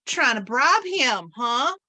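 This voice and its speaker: A woman's voice: sits high, very animated, takes it slowly, pushed and bright, exaggerated voice. A woman playing a competitive, defiant small-town housewife in an old-time radio western, emphatic and catty. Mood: accusing, defiant.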